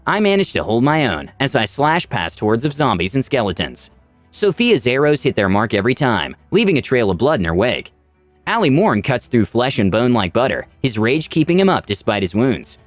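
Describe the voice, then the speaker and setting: A male narrator tells a fantasy tale with very lively intonation and dramatic delivery. His medium-pitched voice is recorded dry, with music playing faintly underneath. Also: dry recording. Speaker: channel narrator. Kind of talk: dramatic scripted story narration in first person.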